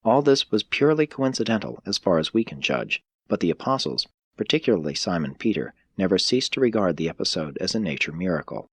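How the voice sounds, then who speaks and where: A man narrates scripture-like stories in a low, husky voice. His delivery is measured and solemn, that of an audiobook reader, captured very dry and close without room sound. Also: big pitch swings, no room sound. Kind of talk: scripted narration of a religious text.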